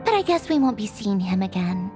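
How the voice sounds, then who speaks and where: A woman's voice: mid-range voice, very animated, fairly quick, over music, natural voice. An actress playing a haughty, sardonic young female villain officer in a video game, dismissive and theatrical, with wistfulness underneath. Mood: flustered, teasing.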